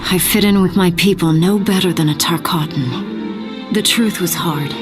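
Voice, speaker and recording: Warrior queen for a fantasy fighting game, female actor: lowish pitch, dignified, solemn, determined, over music. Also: unhurried, close to an everyday voice. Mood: regretful. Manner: solemn, formal, earnest. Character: noble, resolute warrior queen, grown woman. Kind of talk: video game cutscene narration.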